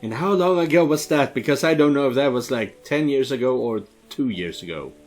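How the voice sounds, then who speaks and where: A man's voice: mid-range voice, lively intonation, brisk, darkish tone, faint music behind. A man from Sweden giving casual, rambling let's play commentary, hesitating as he goes. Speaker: streamer playing and commenting on a visual novel.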